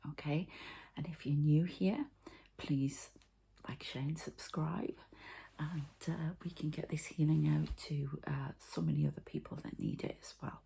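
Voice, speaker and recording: A woman hosting a whispered ASMR healing session, speaking in a soft, breathy, hesitant and meandering way. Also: low voice, fairly even intonation, measured pace. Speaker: host, spiritual healer.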